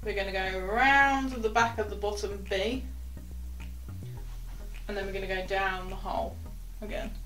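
Young woman, sailing instructor, step-by-step chatty teaching, mid-pitched, soft background music, small wooden cabin. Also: steady tone, moderate pace, pauses often, poor audio. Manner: step-by-step, chatty, drops to quiet asides while working the rope. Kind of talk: knot-tying tutorial.

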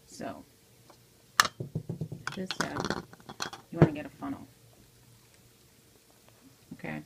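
A woman's voice: mid-range voice, big pitch swings, takes it slowly, vocal fry, very noisy. A woman giving a casual step-by-step tutorial in an informal way, often checking in with the viewer, recorded on a handheld phone in a home kitchen. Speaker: amateur how-to video maker. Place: home kitchen, indoors at the stove. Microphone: handheld phone behind the camera.

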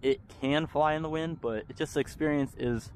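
A man's voice: fairly high pitch, brisk, a little hiss or hum. A young man hosting a hobby channel, talking casually with frequent ums while flying a model plane in an open park. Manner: relaxed, chatty, frequent ums. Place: open park field outdoors.

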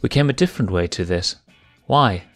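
A man's voice: lowish voice, expressive, fairly quick, faint music behind. A man voicing a spunky young lioness cub who teases and jokes dryly, in a fanfic reading. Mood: wry. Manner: teasing, dry.

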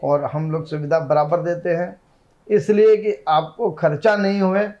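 Middle-aged man, high voice, dull lo-fi. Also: fairly even intonation, unhurried, a little mellow. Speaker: shop owner interviewed. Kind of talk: casual interview. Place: indoors in an office.

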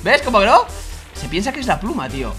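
A young man with an accent from Spain, excitedly commentating a game with friends, mischievous and often repeating his words. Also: sits high, fast, over music. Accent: Spanish (Spain). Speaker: gaming YouTuber, host.

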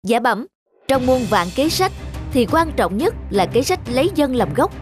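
Earnest young peasant patriot, fervent. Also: male voice, very high voice, sing-song, moderate pace, over music. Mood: fervent, respectful. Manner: respectful, fervent, formal. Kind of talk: animated historical folk-tale dubbing.

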